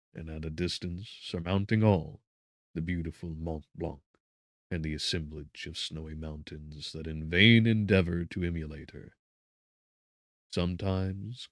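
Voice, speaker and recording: A man narrating a gothic audiobook in a measured, solemn, theatrical way. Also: deep voice, no room sound, clean studio sound. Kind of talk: dramatic scripted narration of a gothic novel.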